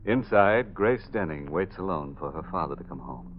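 A man's voice: low-pitched, lively intonation, normal speed, slight background noise. A man playing a gleefully macabre horror host in 1940s radio style, theatrical and mock-sinister. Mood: grave narrating. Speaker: host and narrator. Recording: muffled old radio recording, faint music.

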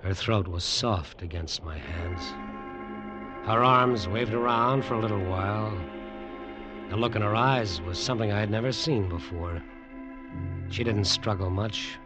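An American actor playing a cold, smooth-talking bodyguard and killer, narrating a vintage radio drama in a terse, deliberate, hard-boiled manner. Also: male voice, lowish voice, lightly acted. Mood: cold, detached. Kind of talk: 1940s-50s radio drama noir narration. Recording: vintage radio broadcast with music behind.

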